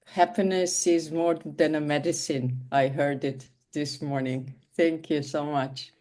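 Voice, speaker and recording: A woman's voice: sits low, steady tone, measured pace, dark tone. A woman in her fifties from Switzerland, a leadership coach introducing herself on a video call, hesitant and full of fillers and restarts. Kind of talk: online call self-introduction.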